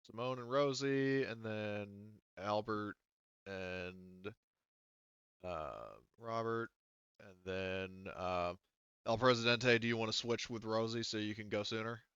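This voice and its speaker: Smooth, low-voiced man running a game. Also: a bit bright, no echo, highs cut off. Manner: halting, talks through rules while thinking aloud. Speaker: game master. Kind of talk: casual tabletop game running.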